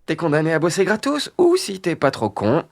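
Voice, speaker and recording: A man's voice: on the high side, wildly swooping pitch, brisk, gravelly, heavily acted. A man voicing a cynical, foul-mouthed cartoon teddy bear on parole, ranting bluntly and sarcastically. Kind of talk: satirical adult cartoon dialogue.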